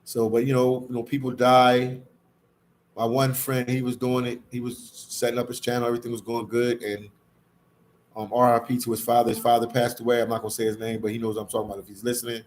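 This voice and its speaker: A man's voice: mid-range voice, flat delivery, fast talker. A man hosting a casual livestream, chatting to viewers and reading their comments, with a slangy, repetitive way of talking that often addresses them as "man". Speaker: live stream host reading viewer comments. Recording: clear background ambient noise.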